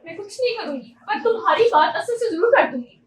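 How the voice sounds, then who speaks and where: Woman acting very high-pitched, defiant wife, echoey hall. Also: animated, fast talker, a little hiss or hum. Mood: indignant, dismissive. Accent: Pakistani. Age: young adult. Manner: curt, dismissive. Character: defiant, indignant married woman under pressure. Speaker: student stage actor. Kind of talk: amateur stage drama acting. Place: seminar hall stage. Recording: echoey hall, distant mic.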